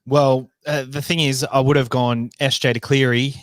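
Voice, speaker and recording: A man with a New Zealand accent chats on a sports podcast in a mid-pitched voice. He talks in an easygoing, halting way, stumbling over words and restarting sentences. The recording is dry and close-miked. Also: flat delivery, dry recording. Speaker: podcast co-host. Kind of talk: casual sports podcast chat.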